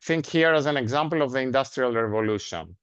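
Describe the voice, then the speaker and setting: A man with a Greek accent giving an academic seminar lecture. He speaks in a measured way, with frequent "uh" fillers and a rhetorical "no?" at the end of points. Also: mid-range voice, clear, resonant tone, dry recording. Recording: dry, dull lo-fi sound.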